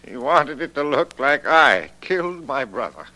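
An actor playing an ailing, sorrowful older uncle in 1940s radio drama style, with an emotive, halting delivery. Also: male voice, a little high, sing-song, pushed and bright, heavily acted, low-quality recording. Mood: grieved, shocked.

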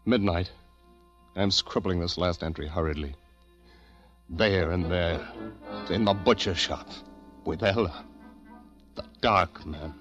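Grave, low-voiced doomed man. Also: fairly bright tone, muffled recording. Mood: grave, resolved. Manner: grave, halting, deliberate. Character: doomed, sensitive male writer scribbling a last desperate diary entry. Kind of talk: 1940s radio acting. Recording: vintage 1940s radio recording, music behind.

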